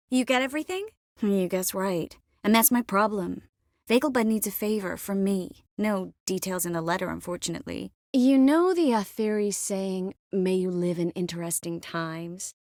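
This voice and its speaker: Woman playing a poised game sorceress, caring but firm, mid-pitched, very dry studio sound. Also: normal speed, darkish tone, dry recording, natural voice. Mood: cool, frank. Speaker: video game voice actor. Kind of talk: scripted game character dialogue.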